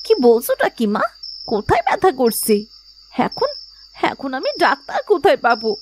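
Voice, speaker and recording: A woman's voice: sits high, wildly swooping pitch, normal speed, a little hiss or hum. A woman playing an earnest, devoted young village daughter in a melodramatic cartoon, speaking with emotion and dignity. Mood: alarmed.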